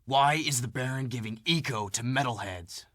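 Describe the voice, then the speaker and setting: A gruff young hero acted by a man in a video game cutscene, with a mid-pitched voice and a dry sound. He speaks bluntly, in terse, confrontational demands. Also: normal speed, fairly bright tone, no room sound, close to an everyday voice. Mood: stern.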